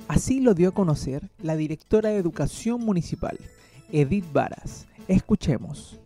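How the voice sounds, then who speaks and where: A man's voice: a little high, lively intonation, normal speed, mellow. A man reading a scripted news report. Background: background music.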